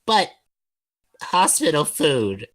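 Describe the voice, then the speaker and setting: A man performs a clumsy young huntsman-in-training who grumbles and whines at himself. The delivery is slow and hesitant, with a bright, strained edge and big swings in pitch, as in an animated-series audio drama. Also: full character voice. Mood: whiny, exasperated. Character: sulky, self-deprecating, clumsy young male student huntsman.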